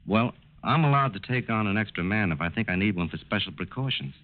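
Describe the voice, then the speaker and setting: An actor playing an agreeable, puzzled plant safety engineer in a 1940s radio drama, folksy, plain-spoken and a little hesitant. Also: male voice, lowish voice, expressive, quick pace, natural voice. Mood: agreeable, surprised. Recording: tinny narrow-band old radio recording.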